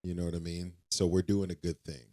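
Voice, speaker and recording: A man's voice: deep voice, little pitch movement, fairly quick, mellow. A young man co-hosting a casual faith-based podcast, earnest and reassuring, often repeating himself as he works through a thought. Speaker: podcast co-host.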